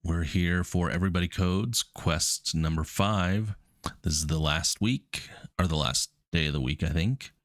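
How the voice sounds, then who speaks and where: Man, very deep voice, stuffy cold, casual, dry close mic. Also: a little slow, no room sound. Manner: casual, relaxed, many ums. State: stuffy with a cold, cough drop in mouth. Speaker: coding streamer. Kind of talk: reading puzzle text aloud and thinking out loud.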